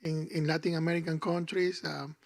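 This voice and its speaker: Gravelly male panelist. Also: on the high side, little pitch movement. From Peru. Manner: earnest, halting explanation. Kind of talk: panel discussion.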